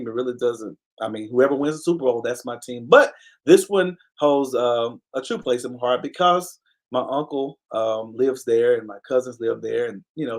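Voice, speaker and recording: A man hosts a casual live online talk show with a middle-pitched voice. He speaks earnestly, repeating key phrases with a preacher-like cadence, and regularly stops to thank viewers by name. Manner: pauses to give viewers shout-outs. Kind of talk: casual live-stream commentary.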